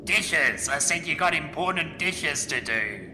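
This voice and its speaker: A man's voice: a little high, lively intonation, unhurried. A man playing an insistent, shifty kitchen colleague in an audio drama. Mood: brisk, reassuring. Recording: noisy room ambience behind.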